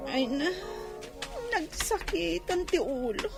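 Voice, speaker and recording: A woman's voice: extremely high pitch, lively intonation, takes it slowly, creaky, music in the background, full character voice. A woman playing a rebellious, sullen young village daughter with an Ilocano accent, defiant and whiny, in radio drama style. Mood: sullen, annoyed. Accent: Filipino, Ilocano. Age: young adult. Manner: defiant, whiny, talking back.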